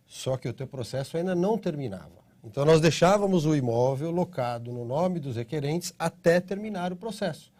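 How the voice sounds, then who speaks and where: A middle-aged Brazilian man answering interview questions at length, patiently laying out a process point by point. His voice is mellow and soft-edged, with a slightly husky grain, and he keeps checking in with short tag questions. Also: high voice. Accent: Brazilian Portuguese. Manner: steady explaining, frequent tag questions. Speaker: interview guest, citizenship consultancy CEO.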